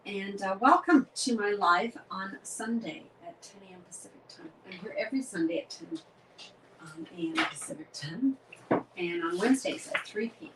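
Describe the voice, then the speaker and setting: A woman's voice: mid-range voice, animated, normal speed, noisy background. A middle-aged woman from Canada with a head cold, hosting a casual live craft demonstration and greeting viewers by name.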